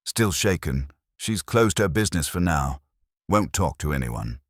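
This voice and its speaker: Man acting worried male client, very deep, slightly husky, terse, earnest, mystery audiobook. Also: very animated, measured pace, no echo, studio quality, full character voice.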